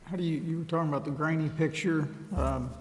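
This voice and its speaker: A man's voice: on the high side, fairly even intonation, quick pace, a little hiss or hum. Hesitant man asking questions in a hall. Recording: reverberant council chamber.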